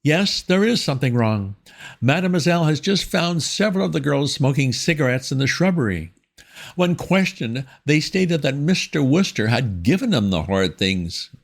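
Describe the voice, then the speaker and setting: A man voicing a stern older headmistress in a storybook reading, his voice raised high. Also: sing-song, normal speed, creaky, full character voice. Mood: indignant. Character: imperious stern woman headmistress.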